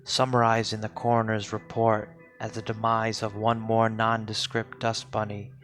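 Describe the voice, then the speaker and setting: A man reads poetry aloud in a solemn spoken-word style, with deliberate, measured phrasing and pauses. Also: on the low side, monotone, fairly bright tone, faint music behind. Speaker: poetry reader.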